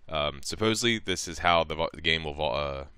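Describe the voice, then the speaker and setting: A man narrating a casual step-by-step software tutorial in an informal, somewhat hesitant way, thinking aloud as he goes. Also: a bass voice, fairly quick, smooth, no room sound. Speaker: tutorial presenter.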